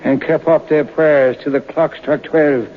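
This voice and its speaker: An actor playing a knowing folk-tale storyteller, narrating an old-time radio drama in an unhurried way. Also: male voice, fairly high pitch, steady tone, lightly acted. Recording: muffled, hissy old radio broadcast.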